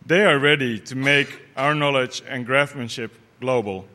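Man with a bright, earnest speech. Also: medium pitch, steady tone, normal speed. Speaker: conference speaker. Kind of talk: prepared speech.

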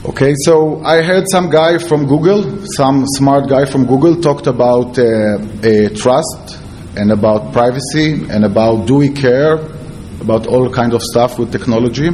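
A man with an Israeli accent giving a conference presentation as a security researcher, in halting, non-fluent English. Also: medium pitch, darkish tone, slight background noise. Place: conference talk before an audience.

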